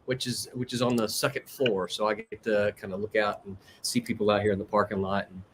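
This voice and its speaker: A man co-hosting a casual livestream chat from an outdoor balcony over a video call, talking loudly and laughing often as he reads viewer comments. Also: lowish voice, little pitch movement, fairly quick, very noisy. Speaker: podcast co-host. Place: outdoor second-floor balcony. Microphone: earbuds on a video call.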